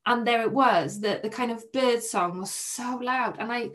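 A young Polish woman, a podcast guest, telling a personal story in a soft, hesitant way with many restarts. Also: a little high, normal speed. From Poland.

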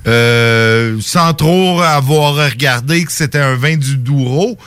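Quebec French man, mid-pitched and dry. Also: slow, a bit bright, smooth, no echo. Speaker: talk show co-host. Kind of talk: casual chat.

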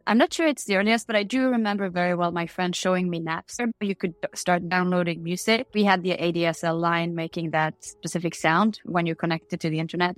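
A woman's voice: on the low side, no room sound. A woman from Norway with a Norwegian accent, answering interview questions in a candid, chatty way. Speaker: interview guest.

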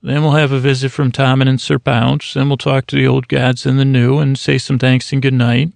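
A man hosting a bedtime podcast, talking in a meandering, halting way full of fillers and self-corrections, kept deliberately slow and mild so listeners can drift off. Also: medium pitch, level tone, vocal fry, no echo. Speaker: podcast host. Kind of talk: rambling bedtime podcast intro.

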